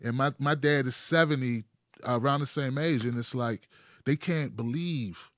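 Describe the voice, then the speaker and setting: A man's voice: medium pitch, moderate pace, no echo, clean studio sound. A middle-aged American man hosting a talk show in casual, emphatic, slangy conversation. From United States. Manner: emphatic, slangy, addresses listeners as man, bro, guys. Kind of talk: casual, animated interview chat.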